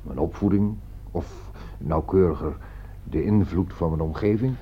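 Deep-voiced, dryly mocking writer. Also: male voice, a little slow. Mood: reasoning. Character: level-headed male writer, dismissive, mildly mocking peacemaker. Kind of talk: Dutch radio play acting. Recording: dull, noisy old radio play recording.

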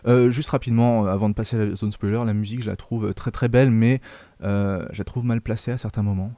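A man's voice: fairly low pitch, steady tone, fast, dark tone, no room sound. A man from France hosting a casual film review chat, hesitant and opinionated, with many fillers and restarts. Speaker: film review show host.

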